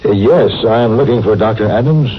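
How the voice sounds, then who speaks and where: A man's voice: lowish voice, a little fast, close to an everyday voice. A man playing a polite, dignified, educated doctor, speaking formally in an old-time radio western. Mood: courteous, reserved. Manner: courteous, formal, hesitant. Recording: muffled, noisy old radio broadcast.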